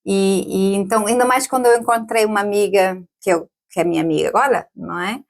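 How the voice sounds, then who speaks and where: A woman's voice: mid-range voice, fast. A woman in her forties, a podcast guest, chatting casually, laughing often and tagging questions onto her sentences. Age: 40s. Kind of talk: casual interview chat.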